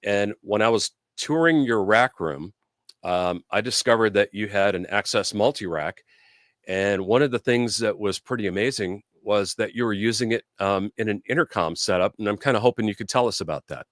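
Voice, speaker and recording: Low-voiced man hosting a live demo. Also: moderate pace, a bit bright, no echo, clean studio sound. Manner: informal, conversational, explanatory. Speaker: host and product demonstrator. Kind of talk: casual live product demo and interview.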